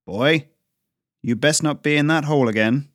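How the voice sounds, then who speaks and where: A man voicing a stern, gruff middle-aged father who growls and barks orders. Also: mid-range voice, normal speed, dry recording, clean studio sound, natural voice.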